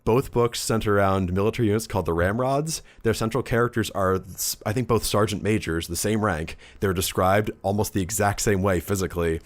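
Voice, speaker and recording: A man's voice: lowish voice, a little fast, few pauses. A man co-hosting a podcast, chatting casually and enthusiastically in a geeky, conversational way. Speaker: podcast co-host. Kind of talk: casual hobby podcast chat.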